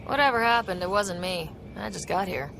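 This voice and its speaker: A woman's voice: mid-range voice, brisk, creaky, natural voice. A woman playing a young, earnest, plucky space explorer leader in a video game, casual and direct. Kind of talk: video game cutscene acting. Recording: reverberant, loud background noise.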